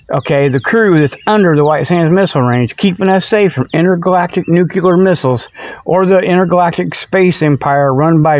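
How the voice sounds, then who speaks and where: A middle-aged American man hosting a comic show, rambling off the cuff in a folksy, repetitive, colloquial way. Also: a little high. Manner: folksy, colloquial, repeats himself, loses his thread. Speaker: host of a comedic radio-style show. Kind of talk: rambling, improvised comic monologue. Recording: dull lo-fi radio-like sound.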